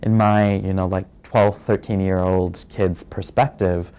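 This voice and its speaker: Deep mellow-voiced man from Fiji. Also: flat delivery, clean tone. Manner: soft-spoken and reflective, many pauses and fillers. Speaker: interviewee sharing his story. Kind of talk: personal testimony.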